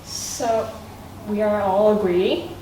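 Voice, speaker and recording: Teen girl chatting about school, kinda halting english, noisy room, bit far from mic. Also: mid-range voice, fairly even intonation, warm tone. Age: teenager, high school student. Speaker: student panelist. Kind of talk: casual discussion of school life. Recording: echoey room, distant mic, clear noise.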